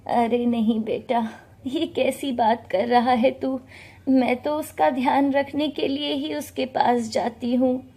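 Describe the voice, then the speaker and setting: Woman acting a gentle, wronged elderly mother. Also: high-pitched, fairly even intonation, takes it slowly, exaggerated voice. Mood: meek. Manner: pleading, meek.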